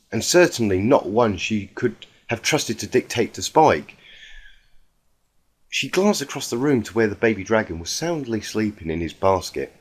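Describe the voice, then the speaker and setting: A man reading a fan fiction story aloud in an earnest, expressive, dramatic amateur narration. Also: on the low side, husky.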